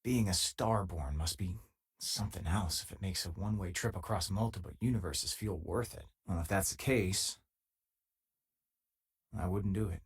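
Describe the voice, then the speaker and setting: Husky, low-voiced easygoing space companion. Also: male voice. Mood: relaxed, conversational. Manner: casual, dryly teasing, hesitant pauses. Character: easygoing, wry male space-faring companion, a former miner. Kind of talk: video game voice lines, conversational.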